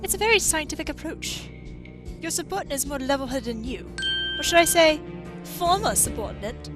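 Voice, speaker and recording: Woman as a high, haughty, mocking scientist. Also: expressive, a little fast, music in the background. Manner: sardonic, taunting. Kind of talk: video game fandub acting.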